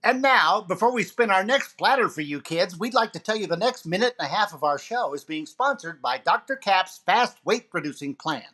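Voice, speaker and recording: A man's voice: sits high, animated, moderate pace, few pauses, bright, forceful tone, heavily acted. Man playing a showy jive-talking radio disc jockey. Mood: upbeat, showy. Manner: showy, punchy announcer patter. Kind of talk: 1940s radio comedy acting.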